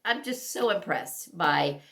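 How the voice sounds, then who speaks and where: A woman talking casually as a book vlogger, thinking aloud in halting, conversational asides. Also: lowish voice, animated, gravelly, fairly bright tone. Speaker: book vlogger reviewing recent reads. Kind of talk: casual chat to camera.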